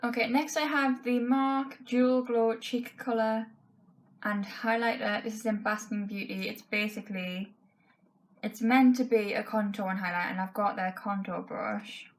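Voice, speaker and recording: A woman's voice: fairly high pitch, fairly even intonation, a little slow, rough recording. A young woman in her twenties with a voice that is going, chatting casually through a makeup tutorial in her bedroom, full of "um" and "like". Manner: chatty, frank, many fillers like "um" and "like". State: losing her voice. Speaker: beauty vlogger. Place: bedroom, indoors.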